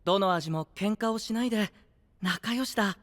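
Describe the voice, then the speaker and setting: An actor playing a gentle, innocent, princely young man in an anime-style voice drama, kindly and guileless. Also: high-pitched, normal speed. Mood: happy, gentle. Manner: soft-spoken, guileless, kindly. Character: gentle, innocent, warm-hearted princely young male knight.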